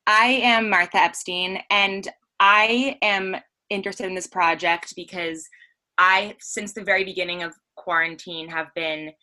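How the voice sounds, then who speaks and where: Young woman, really low voice but bright and sharp, earnest, thinking out loud. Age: young adult. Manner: earnest, thoughtful, some filler "like". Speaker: guest. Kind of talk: casual chat. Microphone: remote call recording.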